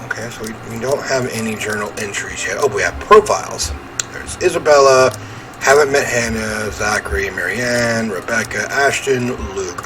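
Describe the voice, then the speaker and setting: A man's voice: mid-range voice, fairly bright tone. A man hosting a gaming video, reading game text aloud and chatting with informal asides, with noise behind his voice. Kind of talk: casual let's-play commentary, reading game text aloud.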